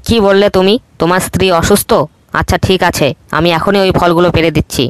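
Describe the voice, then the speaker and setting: A man voicing a cheerful, helpful young male monkey in a cartoon, talking in a quick, high, friendly way. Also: steady tone, slight background noise, lightly acted. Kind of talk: cartoon voice acting.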